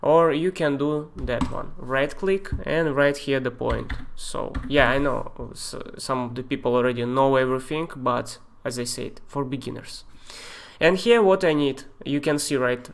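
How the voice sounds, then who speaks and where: A man with a Russian accent walks viewers through software on a live stream. He talks in a halting way, with fillers and loose non-native grammar, and his pitch sits in an ordinary middle range. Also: gravelly, a little hiss or hum. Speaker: software tutor. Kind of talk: live-streamed screen tutorial.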